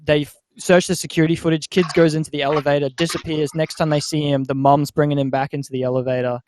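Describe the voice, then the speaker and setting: A man hosting a podcast, telling stories in an animated, casual way and often checking in with his listeners. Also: a little high, quick pace, slight background noise, poor audio. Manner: animated storyteller who often checks in with "right?". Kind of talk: casual storytelling chat.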